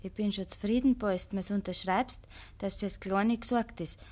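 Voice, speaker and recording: An actress as a pert, scheming Bavarian maid. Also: female voice, mid-range voice, steady tone, normal speed, darkish tone, natural voice. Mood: pressing, cajoling. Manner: pert, insistent. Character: scheming, headstrong young farm maid. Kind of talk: 1950s dialect radio drama acting.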